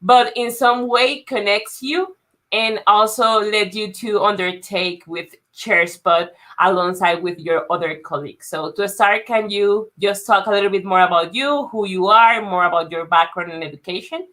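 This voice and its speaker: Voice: medium pitch, moderate pace, sharp tone. A host moderating an interview over a video call, asking questions and giving short friendly affirmations.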